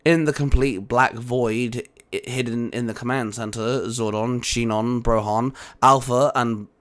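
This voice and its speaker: A man's voice: mid-range voice, steady tone, measured pace, fairly bright tone. A man reading scripted audiobook narration for a fan fiction adventure story.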